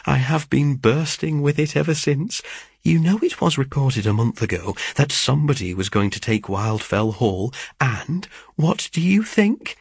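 A man voicing an excitable young sister in an audiobook of a classic novel, chattering emphatically with gossip. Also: medium pitch, gravelly, husky, no echo, clean studio sound, pitched above the performer's own voice.

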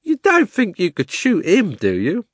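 A man's voice: sits high, wildly swooping pitch, unhurried, no echo, clean studio sound, pitched above the performer's own voice, exaggerated voice. A man voicing a blunt, forthright cabby, a plain-spoken working man, in a storybook reading. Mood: incredulous.

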